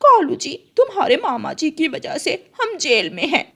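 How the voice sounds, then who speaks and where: A woman's voice: high-pitched, wildly swooping pitch, moderate pace, bright, forceful tone, voice pushed up, full character voice. A woman voicing a greedy, fawning female crow, the wife in a cartoon story. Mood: complaining.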